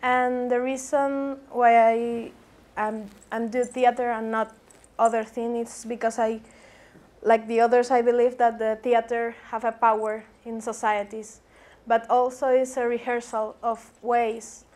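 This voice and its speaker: Earnest woman from Cuba, flat high voice. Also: measured pace. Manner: earnest, non-native grammar. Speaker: playwright. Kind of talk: panel introduction.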